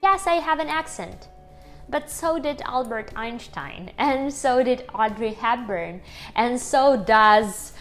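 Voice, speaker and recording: A woman with a strong Ukrainian accent chats to her viewers from her home kitchen. Her voice sits a touch high and her pace is slow and unhurried, with laughs slipping in. Soft music plays faintly behind her. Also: animated. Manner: chatty and upbeat, laughing often, addressing viewers as guys. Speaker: YouTuber. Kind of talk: casual talk to camera.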